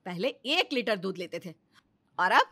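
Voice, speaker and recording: A woman's voice: medium pitch, sing-song, measured pace, pushed and bright, exaggerated voice. A woman playing a bitter, suspicious sister-in-law in a story, scolding and sarcastic. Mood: sarcastic, bossy.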